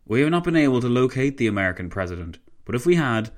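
A man's voice: medium pitch, sing-song, fast talker, pitched above the performer's own voice, lightly acted. A man voicing a proud, coldly ambitious French marshal, declamatory and menacing, in a dramatised historical story. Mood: cold, commanding. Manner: declamatory, imperious.

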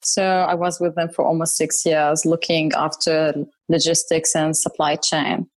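Woman from Syria, thirties, lowish voice, eager guest on a dry close mic. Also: flat delivery, a little slow, dry recording. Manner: enthusiastic, repeats words for emphasis. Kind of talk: interview conversation.

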